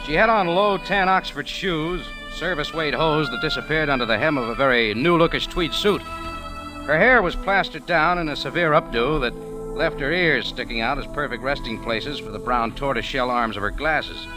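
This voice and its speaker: An actor playing a wary, wisecracking cafe owner in a 1940s radio noir, sardonic, clipped and streetwise, on an old broadcast recording. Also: male voice, fairly high pitch, normal speed, over music, natural voice, rough recording. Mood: sardonic narration. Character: wary, wisecracking hardboiled male cafe owner telling his story.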